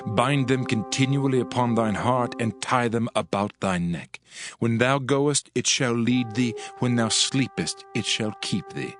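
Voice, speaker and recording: A man's voice: mid-range voice, a little slow. A man reading dramatised scripture like a father counselling, in a solemn, admonishing way. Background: music.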